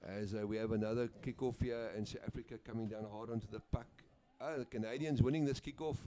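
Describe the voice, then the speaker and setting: Guy doing sports commentary, laid back, lots of uhs, mellow voice. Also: medium pitch, fairly even intonation, fast. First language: Afrikaans. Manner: easygoing, chatty, with frequent uhs and name slips. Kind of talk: live play-by-play commentary.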